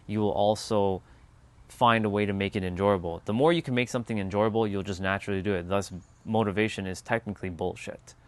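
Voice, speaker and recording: A man's voice: on the low side, fairly even intonation, a little fast. Rambling man hosting a spiritual livestream. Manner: rambling, musing, answers viewer chat; casual, swears offhandedly. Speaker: livestream host. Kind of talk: casual spiritual livestream chat.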